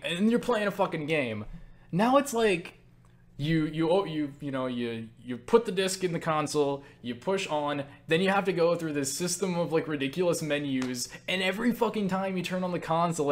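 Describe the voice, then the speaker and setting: A man talking in a casual, rambling monologue as he answers viewer questions, stumbling over words, interrupting himself and speaking bluntly. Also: a little high. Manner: blunt, swears freely.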